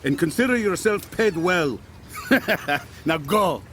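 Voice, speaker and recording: A man's voice: on the high side, expressive, slow pace, fairly bright tone, slight background noise. A man playing a haughty, mocking young merchant prince in an audio drama, scornful and imperious. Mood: scornful, amused.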